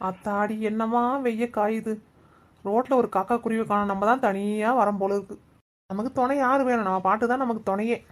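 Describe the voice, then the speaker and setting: A woman's voice: medium pitch, fairly even intonation, brisk, close to an everyday voice. A woman voicing a young village woman in a Tamil cartoon, mild and questioning, soothing an upset neighbour. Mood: musing. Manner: soothing, questioning, humming film songs. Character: young village woman Malli, gentle and puzzled.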